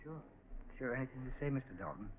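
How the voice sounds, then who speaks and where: An actor playing a polite, willing young farmhand, mild-mannered and understated, in 1940s radio drama style. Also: male voice, mid-range voice, animated, fast, natural voice. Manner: courteous, understated. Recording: noisy, tinny 1940s radio recording.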